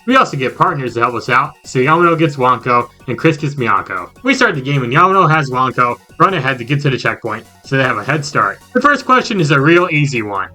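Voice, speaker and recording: Male recap narrator, casual and sarcastic, bright tone, highly animated delivery, light music bed. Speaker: video game reviewer. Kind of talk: scripted recap narration with joking asides.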